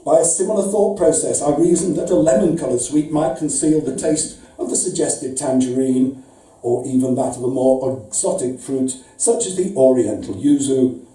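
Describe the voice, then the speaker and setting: Man acting as pedantic aggrieved letter writer, mock-serious, precise formal phrasing, very soft dark tone, roomy distant live recording. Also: medium pitch, moderate pace, natural voice. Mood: indignant, formal. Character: pedantic, pompous, aggrieved male customer dictating a complaint letter. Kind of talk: live comic short story reading. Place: indoor arts venue reading, before an audience.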